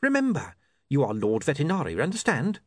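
A man voicing a smooth-talking criminal boss who persuades with wry patience and quiet menace. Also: on the high side, wildly swooping pitch, brisk, dry recording, clean studio sound, pitched above the performer's own voice. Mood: coaxing.

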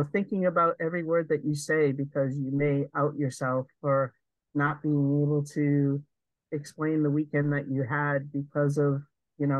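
A woman with a naturally deep voice talks through her thoughts earnestly, in long winding sentences. The recording is dry and close, with no room sound. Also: no echo, highs cut off. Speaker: guest, advocate. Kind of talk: reflective interview conversation.

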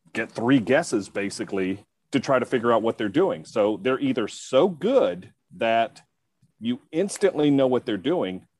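A man hosting a panel, telling a story in a relaxed way. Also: mid-range voice, dry recording.

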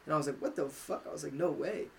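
A young man co-hosting a casual podcast, chatty and quick to laugh as he tells stories. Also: on the high side, gravelly, darkish tone, slight background noise. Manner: chatty, laughs easily, storytelling. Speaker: podcast co-host. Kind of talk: casual chat.

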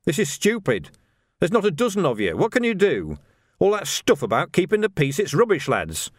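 A man's voice: fairly high pitch, wildly swooping pitch, normal speed, dry recording, clean studio sound, pitched above the performer's own voice. A man voicing a hard-headed, defiant city policeman in an audiobook, blunt and argumentative. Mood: scornful, defiant.

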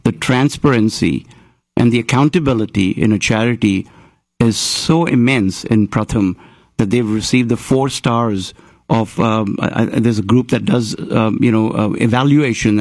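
A man's voice: on the low side, fairly even intonation, normal speed, a little mellow, no echo. A man who grew up in Houston, hosting a community talk show and interviewing a guest in an earnest, rambling way with many restarts.

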